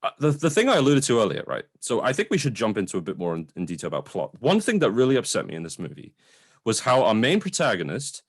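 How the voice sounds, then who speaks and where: A man's voice: mid-range voice, wildly swooping pitch, quick pace, slightly rough. A man co-hosting a casual review discussion, speaking informally and opinionatedly with some swearing. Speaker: podcast co-host reviewing films.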